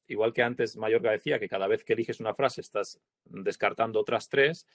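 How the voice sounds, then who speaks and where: A man in his forties from Spain, talking about his craft with a thoughtful, halting rhythm full of fillers. His voice sits at an ordinary middle pitch. The sound is dry and close, with no room around it. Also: no echo. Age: 40s. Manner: thoughtful, hesitant, many fillers and tag questions. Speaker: translator, prize-winning guest. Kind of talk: reflective interview conversation. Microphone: video call.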